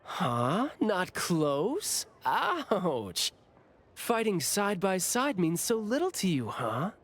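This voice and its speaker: An actor playing a playful, teasing senior bureau officer in an anime game, with drawn-out, theatrical delivery. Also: mid-range voice, huge pitch swings, fairly bright tone, exaggerated voice. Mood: mock hurt.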